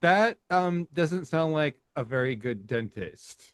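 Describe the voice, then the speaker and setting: High-voiced, slow-talking podcast host. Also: male voice, expressive, smooth, dry recording, studio quality. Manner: laughs while telling stories, halting and profane. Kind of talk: casual chat.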